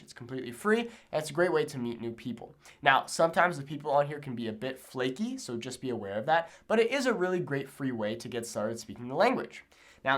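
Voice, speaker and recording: A man's voice: medium pitch, a bit bright. A man giving an instructional talk to camera as a language teacher, emphatic and often checking in with the learner. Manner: emphatic, checks in with "okay?" and "right?".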